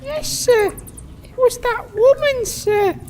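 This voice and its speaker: A man voicing a timid, simple, loyal hunchback squire in a comedy sketch, deferential and halting. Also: very high voice, darkish tone, a little hiss or hum. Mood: naive, deferential. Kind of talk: comedy sketch character acting.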